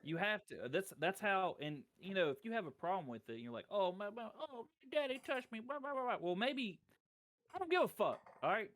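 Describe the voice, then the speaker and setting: A man's voice: sits high, expressive, a little fast, a bit bright. A man chatting casually as a podcast guest, blunt, profane and irreverent. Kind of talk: casual chat.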